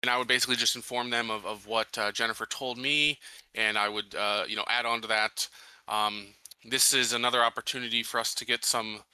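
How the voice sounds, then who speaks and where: A man with a very bright, forward voice talks through his moves as a player in a tabletop game. He is measured and a little hesitant, with a dry, close sound. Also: medium pitch, steady tone, clean tone, no echo, rough recording. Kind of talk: casual in-character roleplay.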